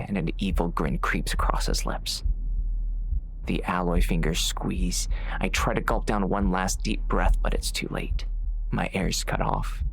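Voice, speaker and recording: A man playing a grim, wry bounty hunter who narrates a western audiobook in a gravelly, hard-boiled way. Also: deep voice, a bit bright, slight background noise, full character voice, poor audio. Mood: grimly wry. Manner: hard-boiled, sardonic, intense. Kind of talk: first-person scripted audiobook narration.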